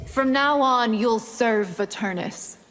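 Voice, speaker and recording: A woman's voice: on the high side, takes it slowly. An actress voicing a haughty, domineering female vampire warrior in a fighting game, imperious and taunting. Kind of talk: fighting game character dialogue. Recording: echoing hall, loud game noise behind.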